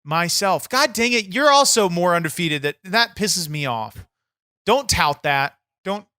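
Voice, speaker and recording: A man's voice: high-pitched, lively intonation, a little slow, sharp tone, no echo, clean studio sound, full character voice. A man playing a bitter, boastful wrestler persona on a comedy podcast, crude, swearing and easily irritated. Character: brash, bitter, foul-mouthed male wrestler persona. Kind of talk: improvised comedy podcast chat.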